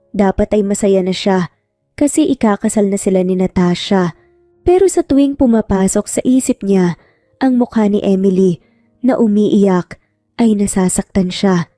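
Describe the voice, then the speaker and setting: A woman's voice: medium pitch, mellow, no echo, studio quality. A woman reading a scripted romantic drama as a storyteller. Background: music.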